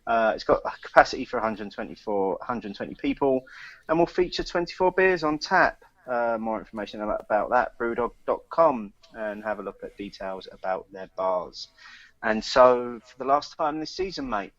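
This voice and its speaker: Bloke chatting on a podcast, lots of ums, muffled lo-fi sound, dead dry room. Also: male voice, medium pitch, animated, moderate pace, fairly bright tone, no room sound. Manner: chatty, many ums and restarts. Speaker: podcast co-host. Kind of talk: casual chat and news reading.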